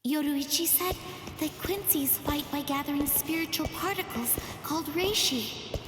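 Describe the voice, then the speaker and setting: A woman voicing a gentle, earnest teenage girl in an anime game, thoughtful and sincere. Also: sits high, normal speed, natural voice. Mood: wondering, reflective. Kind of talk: anime game cutscene dubbing. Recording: echoing reverb, music behind.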